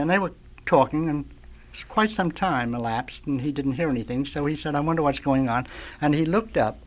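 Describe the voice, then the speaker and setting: An older man, a radio veteran, tells anecdotes as a talk show guest in a halting, rambling way, groping for words. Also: fairly high pitch. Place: radio studio.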